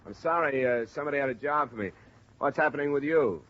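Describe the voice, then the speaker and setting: A man's voice: mid-range voice, fast talker, close to an everyday voice. An American man playing a dry, businesslike investigator in a 1950s radio detective drama, clipped and wry. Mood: brisk, businesslike. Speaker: lead actor and narrator. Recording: dull vintage radio recording, music underneath.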